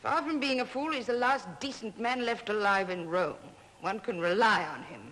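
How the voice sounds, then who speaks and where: An actress playing an imperious, dying old Roman matriarch in a haughty, commanding manner, in 1930s film style. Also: female voice, medium pitch, normal speed, fairly bright tone, natural voice. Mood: stern command. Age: older. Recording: vintage 1930s film soundtrack.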